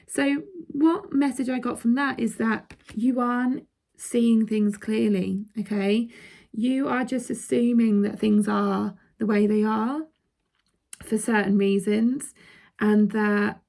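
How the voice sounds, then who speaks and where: A woman with a croaky throat doing a casual card reading for her viewers. She speaks chatty and direct, often checking in with "okay?" and laughing between points. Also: on the high side, fairly even intonation, slow pace, darkish tone. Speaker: intuitive card reader.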